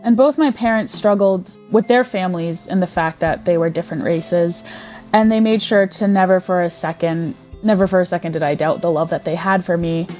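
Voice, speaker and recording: A woman's voice: lowish voice, a little fast, a little mellow, faint music behind. A woman speaking earnestly and from the heart in an interview.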